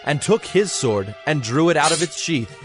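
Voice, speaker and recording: A man narrating a dramatized scripture story from a script, in a measured, solemn storytelling manner. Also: on the high side, lively intonation. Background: background music.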